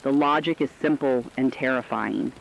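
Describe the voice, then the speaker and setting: A man's voice: mid-range voice, normal speed, gravelly, natural voice, low-quality recording. A man playing a sober radio correspondent, giving a scripted emergency report on a looming war with serious, emphatic phrasing. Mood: sober, ominous.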